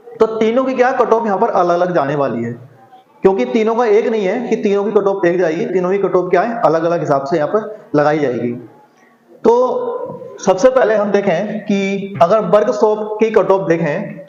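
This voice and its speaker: A man's voice: high voice, a little mellow. A man teaching in an informal explainer style, addressing students and repeating himself with rhetorical questions. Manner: repetitive, punctuates with rhetorical questions. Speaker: exam coaching teacher. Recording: muffled audio in echoey room.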